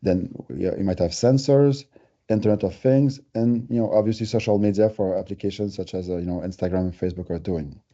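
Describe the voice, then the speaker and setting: A man's voice: deep voice, brisk, dark tone, dull audio. A man with a French Canadian accent, giving a conversational, explanatory webinar presentation with everyday examples. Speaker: company presenter.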